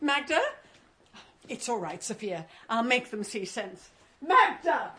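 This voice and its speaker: A sensible older aunt in a radio play. She sounds brisk and admonishing, with a husky voice and lively movement in pitch. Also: exaggerated voice. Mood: placating. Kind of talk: radio drama acting.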